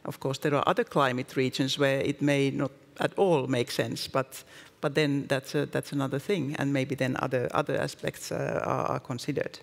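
A man answering on a panel in a halting way, repeating words often. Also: mid-range voice, flat delivery, gravelly. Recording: reverberant stage room.

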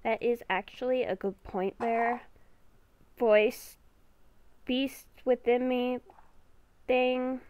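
A woman improvising in character in a game roleplay video, with a halting, exasperated way of talking. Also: a little high, steady tone, clear, resonant tone, no room sound, dull audio. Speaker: gaming video creator playing the lead character.

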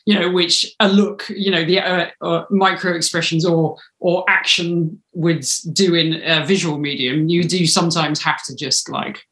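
A woman's voice: fairly low pitch, fairly even intonation, moderate pace, hardly any pauses, a bit bright. Woman in an echoey room. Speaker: audio drama writer, interviewee. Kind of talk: casual interview conversation. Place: small home room, indoors. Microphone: video call, mic at a distance. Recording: echoey room on video call.

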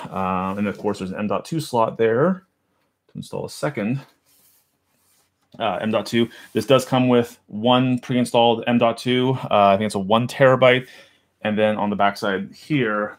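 A man in his thirties chatting casually in a small home office, rambling and muttering as he works on a computer case. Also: lowish voice. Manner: rambling, self-deprecating, mutters while handling parts. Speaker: streamer unboxing a computer. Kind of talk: casual live chat while working. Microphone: boom mic near desk.

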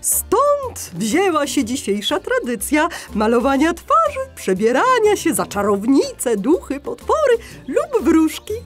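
A very high, wildly swooping parrot schoolteacher, cheerful and instructive. She stretches her words slowly and theatrically, as in a children's audio play. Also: wildly swooping pitch, music in the background, heavily acted. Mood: explaining. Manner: theatrical, drawn-out, sing-song emphasis. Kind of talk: children's audio drama acting.

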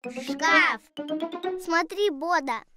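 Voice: high voice, extremely slow, loud music behind the voice. A performer voicing a small, helpful child in a children's cartoon, speaking in a matter-of-fact, instructive way. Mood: helpful, earnest. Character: eager, helpful small child correcting a grown-up.

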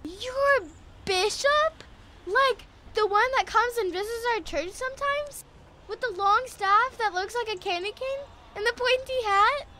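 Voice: extremely high pitch, measured pace, pushed and bright, a little hiss or hum, full character voice. A performer playing a curious, innocent, literal-minded little boy in a family audio drama, asking eager, naive questions. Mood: amazed.

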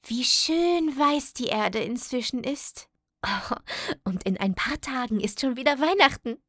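A woman's voice: high-pitched, expressive, slow, fairly bright tone, dry recording, clean studio sound, heavily acted. A woman voicing a cheerful, curious little cloud in a children's audio play, childlike and eager. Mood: wondering.

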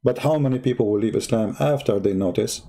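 Combative man, native Arabic speaker, husky. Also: fairly low pitch, a little fast, mellow. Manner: combative, mocking, repeats rhetorical questions. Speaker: online debate host. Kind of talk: heated live-stream commentary.

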